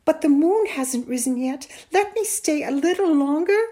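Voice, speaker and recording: A woman voicing an eager, pleading young shepherd boy in a storybook tale, earnest and excitable. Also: high voice, takes it slowly, pitched above the performer's own voice.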